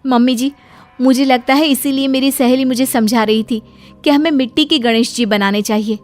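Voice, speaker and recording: A tender-hearted young wife in a cartoon moral tale, acted by a woman. Her voice is high and expressive, easily flustered. Music plays quietly underneath. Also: normal speed, lightly acted. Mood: earnest. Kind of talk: cartoon moral story dialogue.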